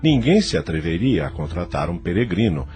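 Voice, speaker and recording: Male narrator, Brazilian accent, low register, animated dramatic delivery for scripted audio-novel storytelling. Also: brisk, faint music behind. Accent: Brazilian Portuguese. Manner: theatrical, literary storytelling.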